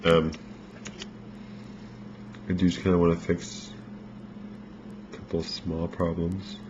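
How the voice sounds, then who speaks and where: A low-voiced man talks through a makeup tutorial in a loose, rambling way, his voice a bit worn as if he is losing it. The recording is dull and lo-fi, with a faint hiss behind him. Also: takes it slowly. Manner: relaxed, rambling instructions with fillers.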